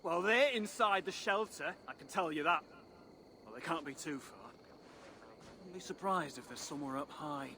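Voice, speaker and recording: An actor playing a down-to-earth dwarf in a video game, chatty, brusque and plain-spoken. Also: male voice, on the high side, normal speed, close to an everyday voice. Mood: matter-of-fact. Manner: plain-spoken, matter-of-fact, scoffing. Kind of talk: video game cutscene acting. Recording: clear background ambient noise.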